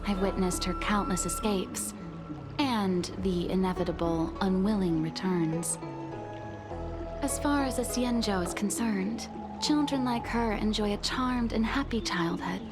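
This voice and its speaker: A woman playing an earnest, dutiful maid in a video game, speaking formally and deferentially in measured phrases. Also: medium pitch, wildly swooping pitch. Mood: concerned, restrained. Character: earnest, dutiful maid pleading for her young mistress. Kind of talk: dramatic video game voice acting. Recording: music behind the voice.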